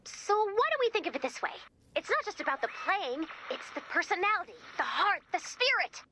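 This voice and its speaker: A woman playing a perky, excitable robot who gushes like a nerdy music superfan in a video game. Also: very high-pitched, a little fast, slight background noise, exaggerated voice. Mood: earnest, proud. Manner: gushing, quippy, theatrical. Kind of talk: video game character dialogue.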